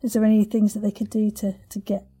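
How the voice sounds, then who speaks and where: A woman's voice: medium pitch, completely flat delivery, fast talker, dark tone, breathy edge. A woman hosting a podcast interview in casual chat, effusive and chatty, with wordy questions.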